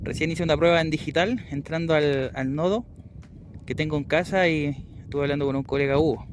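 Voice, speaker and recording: A man's voice: on the high side, fairly even intonation, brisk, background noise. A man with a Chilean Spanish accent talking casually as an amateur radio operator doing a field test outdoors. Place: outdoors on a hilltop.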